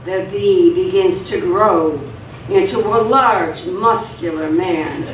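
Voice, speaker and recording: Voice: medium pitch, slow pace. In an echoey room with faint noise, a narrator reads a radio play. The delivery is scripted dramatic storytelling, picked up at a distance from the mic.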